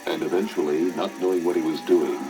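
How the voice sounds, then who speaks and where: A man with a deep, low voice reads a scripted fairy tale for children. He serves as the storyteller, and music plays clearly behind him. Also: fairly even intonation, fast talker, rough recording. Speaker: story narrator.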